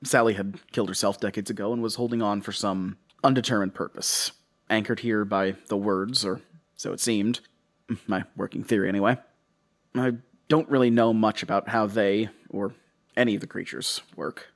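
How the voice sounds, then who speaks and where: An actor playing a troubled, defensive investigator in a horror audio drama, halting, rambling and confessional. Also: male voice, on the low side, steady tone, lightly acted. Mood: weary, confessional. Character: troubled, defensive male investigator recounting his case.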